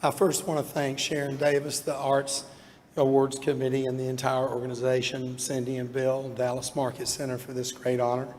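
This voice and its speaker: Hoarse older man in a reverberant hall. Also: medium pitch, monotone, normal speed, a little mellow. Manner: heartfelt, self-deprecating, with small laughs. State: hoarse, losing his voice from illness. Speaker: award honoree giving an acceptance speech. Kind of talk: acceptance speech. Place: large banquet hall at an awards event. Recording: long hall reverb, faint room noise.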